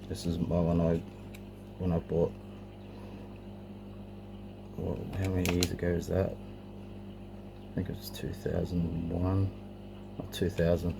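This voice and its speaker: A man with a deep voice full of vocal fry presents his collection casually. He speaks informally and without a script, hesitating now and then. The homemade recording has clear background noise. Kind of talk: casual show-and-tell narration. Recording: clearly noisy amateur home recording.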